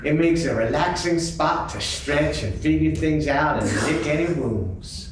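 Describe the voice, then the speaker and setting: A man playing a pushy, smooth-talking male cat in a live sock puppet show. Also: a little high, animated, unhurried. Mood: friendly, insinuating. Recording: echoey convention room, far mic, faint noise.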